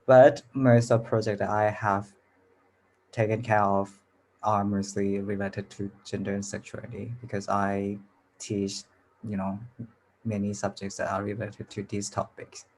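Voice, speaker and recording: A man's voice: fairly low pitch, flat delivery, a little slow, darkish tone, a little hiss or hum, low-quality recording. A young man from Thailand, a new lecturer, talking modestly with frequent fillers on an online panel. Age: young adult. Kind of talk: panel discussion.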